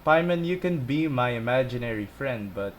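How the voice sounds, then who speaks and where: A woman's voice: extremely low pitch, lively intonation, normal speed, noisy background. A woman hosting a gameplay video, reacting casually to the game as she goes.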